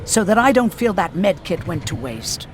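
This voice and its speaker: Low-voiced, prickly female medic. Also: sing-song, moderate pace, noisy background. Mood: sardonic, testy. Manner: dry, sarcastic, curt. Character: prickly, sardonic female medic guarding scarce supplies. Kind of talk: video game dramatic acting.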